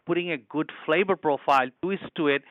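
A man's voice: on the high side, brisk, no echo, phone-quality sound. A man from Bangladesh with a Bangladeshi accent, a hospital chef telling eager, rambling stories in an interview. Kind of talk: interview answers.

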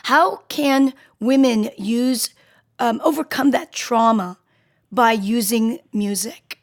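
A middle-aged woman with a raspy voice, hosting a radio interview in a chatty, conversational way. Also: a little high, slow. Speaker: radio show host. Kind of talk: casual interview chat.

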